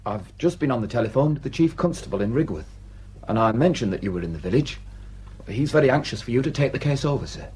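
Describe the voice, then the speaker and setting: A man's voice: medium pitch, expressive, fast, darkish tone, slightly rough. A man acting a deferential, plain-spoken village sergeant with a Northern English accent in a radio drama. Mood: deferential, urgent.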